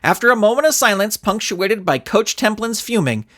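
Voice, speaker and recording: A man's voice: high voice, big pitch swings, normal speed, fairly bright tone. A man narrating a scripted story as an audiobook reader. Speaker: narrator and author.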